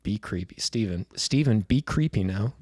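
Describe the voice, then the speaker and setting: A comedian guest on a podcast, a man speaking in a low-energy, deadpan, teasing way. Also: normal speed, no echo, clean studio sound. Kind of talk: casual podcast chat.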